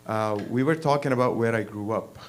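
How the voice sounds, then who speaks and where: A man in his thirties from Lebanon telling a personal story at an open mic, blunt and profane, laughing and getting louder as he gets worked up. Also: mid-range voice, fairly quick, soft background music. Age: 30s. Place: small performance venue, in front of an audience.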